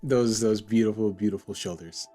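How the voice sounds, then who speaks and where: A man's voice: lowish voice, fairly even intonation, moderate pace, mellow, faint music behind. A man hosting a casual group chat, teasing and bantering playfully with his co-hosts. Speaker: podcast host.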